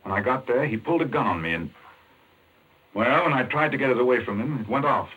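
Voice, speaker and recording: An indignant doctor in a 40s radio thriller, really husky, tense and animated, clipped and demanding. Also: male voice, mid-range voice, quick pace, highs cut off. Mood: accusing. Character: earnest, indignant male doctor caught up in murder. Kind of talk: 1940s radio mystery acting.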